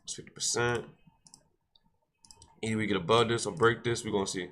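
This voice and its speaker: A man's voice: on the low side, steady tone, a little fast, a bit bright. A man with a stuffy nose hosting a day-trading livestream, repeating himself and talking straight to his chat. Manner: repetitive, exhorting, addresses chat directly. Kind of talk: casual livestream talk with a short devotional.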